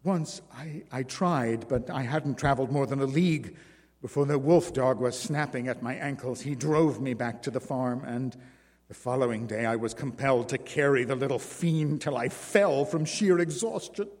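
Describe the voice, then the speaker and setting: A man's voice: mid-range voice, moderate pace, darkish tone, close to an everyday voice. A man voicing an exhausted, desperate former circus woman who pleads through tears. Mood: pleading. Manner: pleading, tearful. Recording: long reverb.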